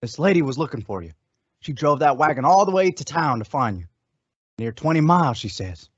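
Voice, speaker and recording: A man's voice: a little high, sing-song, a little fast. A man playing an eager, folksy, deferential marshal's helper in an old-time radio western. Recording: dull lo-fi radio drama recording.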